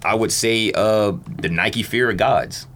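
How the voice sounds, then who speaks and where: A man's voice: fairly low pitch, measured pace, heavy background noise. A man chatting casually on a sneaker podcast in an informal, slangy, hesitant way.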